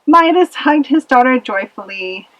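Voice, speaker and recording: High, lively woman storyteller. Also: measured pace, no echo, poor audio. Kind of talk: scripted children's story narration for a puppet show.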